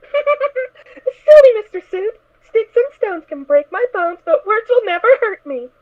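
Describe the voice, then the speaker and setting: A woman voicing a cheerful, naive little pony filly in an audio drama, with a squeaky, childlike, excitable way of talking. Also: measured pace, full character voice. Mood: playful, stubborn. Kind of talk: fan audio drama character acting. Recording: thin, phone-like narrow sound.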